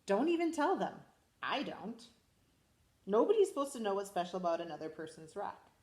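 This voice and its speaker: A school principal, a woman, reading a picture book aloud to children in a lively, expressive way. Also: mid-range voice, moderate pace, roomy sound. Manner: animated, expressive storytelling to children.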